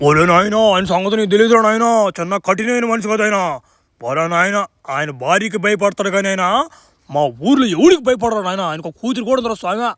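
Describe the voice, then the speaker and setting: A man's voice: high voice, fast, a bit bright, no echo. A man voicing a cautious, deferential young villager in a cartoon comedy. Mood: cautioning.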